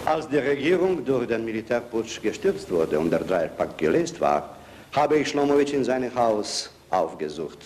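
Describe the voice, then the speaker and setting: Actor as a wary museum director, lively pitch, faint hiss. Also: male voice, normal speed, lightly acted. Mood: subdued. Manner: careful, polite, subdued. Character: cautious middle-aged museum director, deferential. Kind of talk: film acting.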